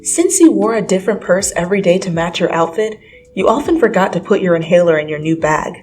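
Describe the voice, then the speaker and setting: A woman's voice: fairly low pitch, animated, quick pace, darkish tone. Woman narrating a story over music. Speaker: fan fiction reader. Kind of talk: scripted dramatic narration. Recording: music under the voice.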